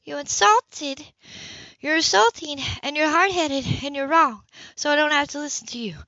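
Fiery, high-pitched indignant woman, as a reader plays her. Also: moderate pace, dry recording. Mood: indignant, combative. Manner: sharp, clipped, heated. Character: fiery, indignant professional young woman in romance novel. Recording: dull, lo-fi home recording.

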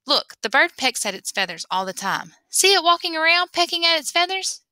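A woman reading a memoir aloud in an expressive storytelling way. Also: very high-pitched, brisk, no room sound. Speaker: reader.

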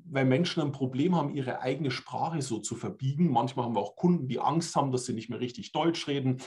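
Forties German male presenter, mid-pitched, webcam talk, explanatory and chatty with tag questions. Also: brisk, a little mellow. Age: 40s. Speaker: language trainer. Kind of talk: online conference presentation. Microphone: webcam on video call.

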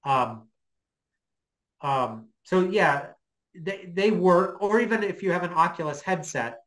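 Male, middle-aged. Slightly higher voice, informal lecturer, hesitant and halting delivery. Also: expressive, a bit bright, low-quality recording. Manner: hesitant, halting, thinking aloud. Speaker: guest lecturer. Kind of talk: informal explanatory lecture with questions. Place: small home office, indoors.

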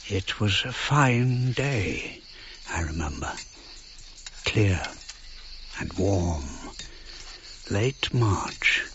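An actor playing an elderly archaeologist narrating his memories in a radio drama, reflective, in short halting phrases. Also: on the low side, expressive, fairly bright tone, highs cut off, a little hiss or hum, heavily acted. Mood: wistful, wondering. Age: older. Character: elderly British archaeologist looking back on his life.

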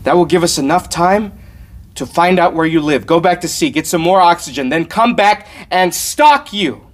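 A man's voice: a little high, lively intonation, moderate pace, natural voice. A man performing a comic film monologue as a cocky, indignant, argumentative ranter. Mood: cocky menace. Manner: emphatic, argumentative. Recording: faint background room noise.

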